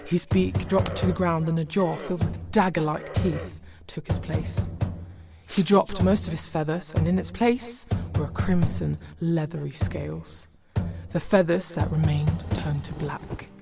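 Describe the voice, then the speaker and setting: Female storybook narrator, low-pitched and measured, over prominent music. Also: steady tone, creaky. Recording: loud music behind.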